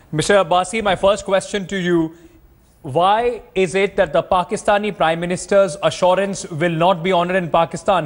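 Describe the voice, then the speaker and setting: A man with an Indian accent anchoring live news, putting probing, insistent questions to guests. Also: fairly high pitch.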